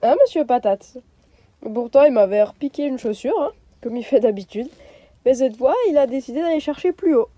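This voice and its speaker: Young woman vlogger with a cold, hesitant casual chat on a phone mic, higher voice with a mellow tone. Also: expressive, moderate pace, no echo. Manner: chatty, hesitant with many fillers. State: stuffy nose from a cold. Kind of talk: casual vlog chat to camera.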